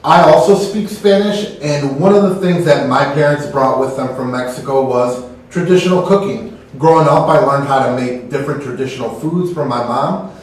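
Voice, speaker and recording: A man's voice: mid-range voice, moderate pace. Man co-hosting school announcements, echoey. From Texas, United States. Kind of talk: scripted school broadcast reading. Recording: echoey room, distant mic.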